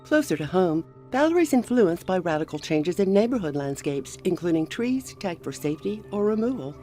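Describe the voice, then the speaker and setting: A woman narrating a garden program, with an even and polished scripted delivery. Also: on the low side, normal speed, faint music behind. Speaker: show narrator.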